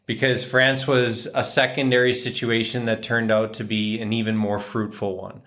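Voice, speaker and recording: A man in his twenties with a lowish voice talks at a desk microphone in a small room indoors. He jokes through a couple's Q&A in a relaxed, matter-of-fact way. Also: moderate pace. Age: 20s. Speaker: co-host, hockey player. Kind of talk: casual couple Q&A chat.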